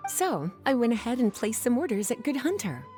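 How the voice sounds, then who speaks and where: An actress playing a poised, gently teasing woman in a video game, with languid, articulate, coaxing delivery. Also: fairly high pitch, big pitch swings, fairly quick, soft background music. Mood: playful, gracious. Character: Lisa, poised, teasing adult woman, caring librarian. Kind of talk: video game cutscene voice acting.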